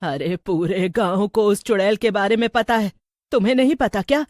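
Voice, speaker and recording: A woman playing a wise older village woman, measured and cautioning, in an animated story. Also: medium pitch, no echo, studio quality, close to an everyday voice. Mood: informing. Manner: measured, advising. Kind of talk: animated Hindi story acting.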